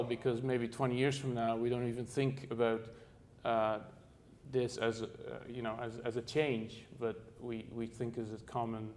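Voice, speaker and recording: A middle-aged man who grew up in the Netherlands, giving an architecture presentation in a halting way, with frequent fillers and restarts. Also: medium pitch, darkish tone. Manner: halting, many fillers and restarts, searching for words. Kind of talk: presentation to an audience.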